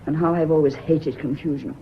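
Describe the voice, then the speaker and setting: A woman's voice: low-pitched, fairly even intonation, brisk, lo-fi sound, a little hiss or hum. An actress playing an anxious, haunted woman in a radio drama, fretful and brooding. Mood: anguished. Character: anxious, haunted woman in a secret love affair. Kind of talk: stage-style radio drama acting.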